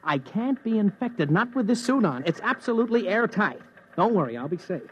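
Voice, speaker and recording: A man's voice: sits high, big pitch swings, a little fast. A man playing a brash, wisecracking news reporter in an old-time radio drama, with wry, conversational delivery. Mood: confident. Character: brash, wisecracking male news reporter narrating his adventure. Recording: dull vintage radio recording.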